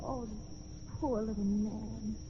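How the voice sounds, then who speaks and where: Nervous young wife, muffled vintage radio. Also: female voice, on the high side, fairly even intonation, slow. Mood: worried. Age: young adult. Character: nervous, witty young wife of an amateur detective. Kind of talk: 1940s radio acting. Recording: dull, noisy vintage radio recording.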